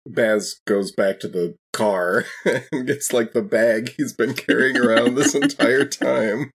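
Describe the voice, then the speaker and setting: A man's voice: mid-range voice, slow pace, gravelly, slightly rough. A man, chatting casually as a player in a tabletop role-playing game.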